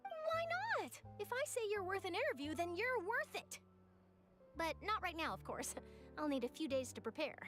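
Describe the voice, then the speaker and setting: A woman's voice: very high-pitched, sing-song, moderate pace, fairly bright tone, heavily acted. An actress playing an eager, passionate young female journalist in an anime game, articulate, theatrical and upbeat. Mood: excited, cheerful. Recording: background music under the voice.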